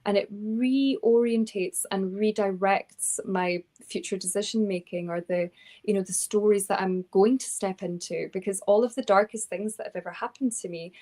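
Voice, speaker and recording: A woman's voice: medium pitch, steady tone, moderate pace, mellow. A woman author being interviewed, talking earnestly and reflectively, often restarting her sentences with fillers. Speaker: author guest.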